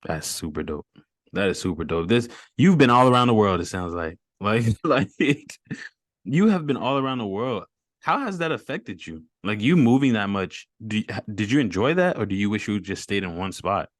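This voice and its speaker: A deep-voiced man hosts a relaxed interview over a video call. He talks with upbeat encouragement and easy slang. The recording is dry, with no room sound. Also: normal speed, no room sound. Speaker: host.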